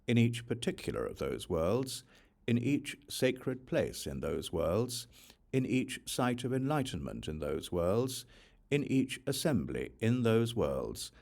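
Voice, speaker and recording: A man reads a sacred text aloud as an audiobook, in measured, solemn phrases with deliberate pauses. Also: fairly low pitch, gravelly, darkish tone. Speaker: audiobook reader of scripture. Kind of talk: scripted reading of a Buddhist sutra.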